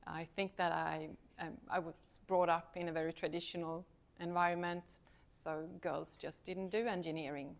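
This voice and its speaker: Woman, Swedish accent, middle-aged, lower pitch, casual talk, self-deprecating, frequent laughs. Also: steady tone, moderate pace, creaky. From Sweden. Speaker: university lecturer, guest speaker. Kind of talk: casual talk to a student society.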